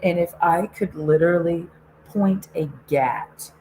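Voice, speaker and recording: Low-voiced woman ranting sarcastically. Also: measured pace, warm tone, a little hiss or hum, low-quality recording. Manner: sarcastic, laughs often, swears freely. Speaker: online show host. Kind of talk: casual ranting monologue.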